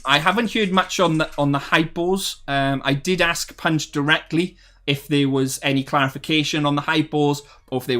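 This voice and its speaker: A young man talks casually on a live stream, rambling through his thoughts with frequent ums. His voice sits a little high for a man and brightens whenever he gets excited about his viewers. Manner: chatty and rambling, many ums, upbeat bursts when viewers join. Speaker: streamer hosting a game development talk. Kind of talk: casual live stream chat, reading chat messages aloud.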